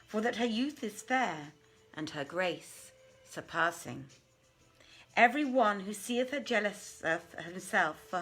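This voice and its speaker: A woman's voice: mid-range voice, pushed and bright, exaggerated voice. A woman voicing a courtly, lovestruck male jinn in a storybook, with formal, reverent pleading.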